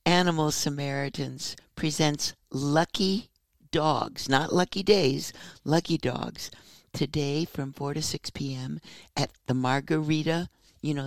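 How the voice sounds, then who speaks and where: A woman's voice: deep voice, vocal fry, dry recording. A woman from the United States hosting a talk show, reading announcements and interviewing, with playful joking asides.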